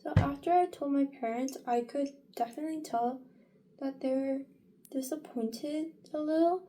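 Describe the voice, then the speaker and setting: A woman's voice: high-pitched, moderate pace, warm tone. A young woman in her late teens chatting casually in a get-ready-with-me vlog, rambling with many fillers and restarts. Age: young adult. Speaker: vlogger.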